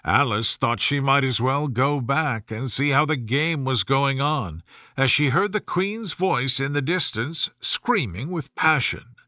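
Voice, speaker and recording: A man's voice: mid-range voice, a little slow, pushed and bright, no echo, studio quality. A man reading a children's story as a soothing, scripted bedtime narration.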